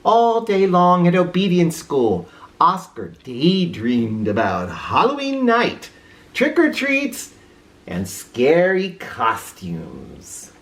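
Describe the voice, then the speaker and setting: Female read-aloud narrator for kids: low pitch, hugely varied intonation, unhurried, theatrical. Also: huge pitch swings. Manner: theatrical, with informal asides to listeners. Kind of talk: expressive children's story narration.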